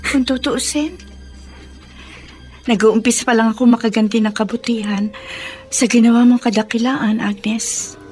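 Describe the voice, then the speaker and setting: A Filipino actress playing a wealthy, wronged and remorseful matron in a radio drama, with emphatic delivery. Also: female voice, on the high side, steady tone, measured pace, long pauses, darkish tone, faint music behind, lightly acted. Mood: remorseful, grateful. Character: wealthy matronly woman, wronged, fierce yet deeply remorseful.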